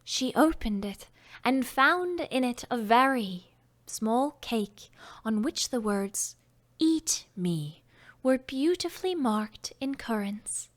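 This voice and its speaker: A woman reading a children's classic aloud as an audiobook, gentle and expressive, sometimes dropping to a whisper. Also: fairly high pitch, slow. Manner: gentle, expressive storytelling, drops to whispers at times. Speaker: audiobook narrator. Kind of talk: audiobook narration.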